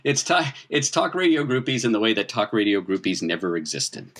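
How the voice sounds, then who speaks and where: A man's voice: lowish voice, sing-song, brisk, fairly bright tone. A man working as game master, improvising narration in a conversational, dramatic storytelling manner.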